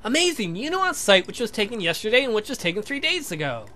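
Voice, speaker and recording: Man doing a bright-voiced, bookish young scientist. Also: high voice, very animated, fast talker, soft background music, full character voice. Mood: eager, awkward. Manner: precise, formal, inquisitive. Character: curious, polite young male scientist, eager and bookish.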